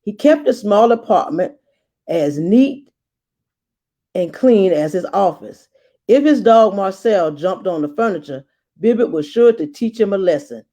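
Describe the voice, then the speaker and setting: A woman guiding young listeners through a read-aloud lesson, instructive and speaking straight to them with emphatic pauses. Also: mid-range voice, lively intonation, dark tone. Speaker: guest reader and read-aloud host for children.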